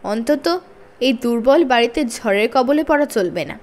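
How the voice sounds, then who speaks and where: A practical frontier father from a children's storybook, read by a woman. The voice is high, with animated pitch. He talks in a plain, reasoning way. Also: moderate pace, close to an everyday voice.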